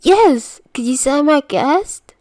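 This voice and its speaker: A woman's voice: high voice, lively intonation, takes it slowly, darkish tone, dry recording, clean studio sound, pitched above the performer's own voice. A woman voicing a sedated, childlike teenage boy in an audiobook story, whiny and easily awestruck.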